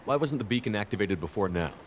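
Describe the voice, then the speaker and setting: An actor playing a direct, no-nonsense squad commander asking questions in a science fiction game. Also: male voice, lowish voice, lively intonation, fast talker, a little hiss or hum, close to an everyday voice. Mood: brisk, inquiring. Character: brisk, commanding male space soldier leading an investigation.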